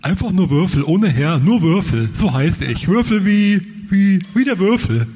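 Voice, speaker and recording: A dim, obedient henchman in a comic radio play, acted by a man. His voice is raspy and fairly high, with animated rises and falls. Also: moderate pace, exaggerated voice. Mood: confused, stubborn.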